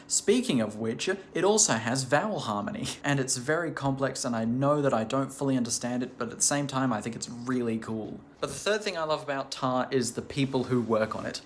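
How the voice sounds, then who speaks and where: A man's voice: mid-range voice, a little hiss or hum. A man hosting a language channel, talking casually and enthusiastically to camera with lots of "like" and "um" fillers. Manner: chatty, rambling with many "like" and "um" fillers; enthusiastic and curious.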